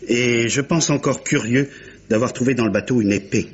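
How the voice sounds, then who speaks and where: An actor playing a laconic, dutiful police inspector in an old French radio mystery, speaking in deliberate, clipped phrases. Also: male voice, mid-range voice, lightly acted. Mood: dogged, literal. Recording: muffled, lightly hissy old radio recording.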